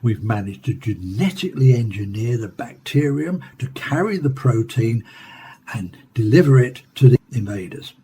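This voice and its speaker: A man playing a renowned professor interviewed in a science fiction radio play, explaining research in a measured, scripted way. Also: medium pitch, lively intonation, warm tone, a little hiss or hum, close to an everyday voice. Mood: assured, formal.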